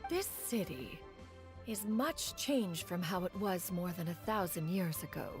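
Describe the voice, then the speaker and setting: A woman's voice: fairly low pitch, animated, measured pace, over music. A woman playing a proud, refined, ancient immortal in a video game, with haughty, formal and archaic speech. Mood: gracious. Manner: haughty, archaic, formal, calls herself "one". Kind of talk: video game character dialogue.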